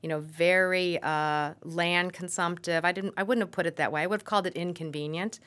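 A middle-aged American woman answering as an expert guest in an interview, explaining in a measured way and often correcting herself mid-sentence. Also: fairly low pitch, steady tone, a bit bright. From suburbs of Saint Louis, Missouri, USA. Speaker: urban planning expert, guest. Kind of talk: thoughtful spoken interview answers.

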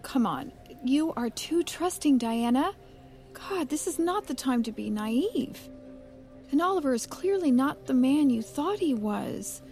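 A woman's voice: high-pitched, expressive, measured pace, mellow, faint music behind. A woman playing a supportive but frank friend in an audio drama, speaking with emphasis. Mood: frank, disapproving. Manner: emphatic, reassuring. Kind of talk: naturalistic audio drama acting.

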